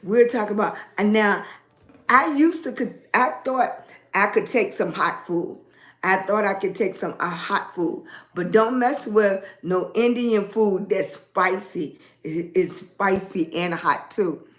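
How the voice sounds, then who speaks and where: Slow-talking raspy woman. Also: medium pitch. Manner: greets viewers by name, rambling and animated. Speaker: livestream host. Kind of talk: casual livestream chat with viewers. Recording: echoey room.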